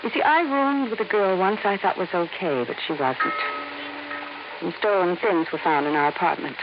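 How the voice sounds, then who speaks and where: A young American woman burdened by her past in a vintage radio mystery. She speaks earnestly and hesitantly. The recording is dull and clearly hissy. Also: on the low side, animated, moderate pace. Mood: confessing, anxious. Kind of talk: 1950s radio drama acting.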